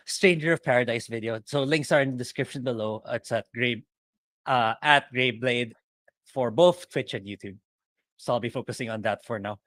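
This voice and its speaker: A man's voice: medium pitch, a bit bright, no room sound. A young man co-hosting a podcast, laid-back and chatty, often chiming in with brief agreement. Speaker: podcast co-host. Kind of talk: casual chat.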